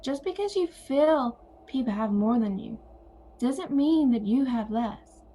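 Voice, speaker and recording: A woman playing a calm, wry older sister and former literature teacher in an audio play. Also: fairly high pitch, unhurried, husky, full character voice. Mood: calm, wise.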